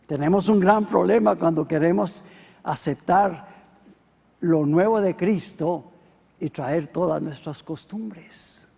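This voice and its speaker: Gravelly Costa Rican pastor preaching. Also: male voice, slow pace. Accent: Costa Rican Spanish. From Costa Rica. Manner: swings from loud emphatic peaks to quiet low asides. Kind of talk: sermon. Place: church hall. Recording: long hall reverb.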